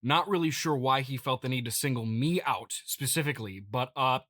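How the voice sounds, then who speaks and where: A man improvising a comedic monologue as a smug, petty billionaire boss, speaking in a halting, rambling, mock-pompous way. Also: mid-range voice, fairly bright tone, no room sound, clean studio sound. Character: smug, petty billionaire CEO boasting to investors. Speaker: comedy podcast host.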